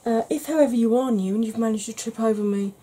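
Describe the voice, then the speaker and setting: A middle-aged woman talks in a soft, unhurried ASMR manner, chatting on about all kinds of things. Her voice is mellow and slightly husky, with a relaxed warmth. She sits close to the camera in a small room at home. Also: on the high side. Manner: gentle, rambling, chatty. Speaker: beauty YouTuber. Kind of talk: soft-spoken ASMR makeup chat.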